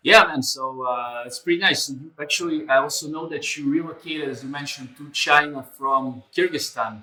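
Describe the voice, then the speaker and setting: A man's voice: on the high side, bright, forceful tone, reverberant, distant mic, poor audio. A man whose first language is Russian, hosting an interview and talking eagerly, calling his guest "man" again and again. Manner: fast, enthusiastic, fills speech with "man". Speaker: host. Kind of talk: casual interview.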